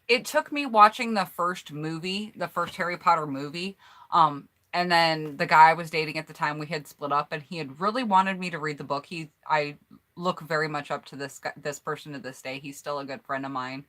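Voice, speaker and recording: A woman's voice: sits low, pushed and bright. An American woman chatting casually on a home mic, reading out viewers' answers in an upbeat, rambling way. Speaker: YouTuber hosting a collab tag.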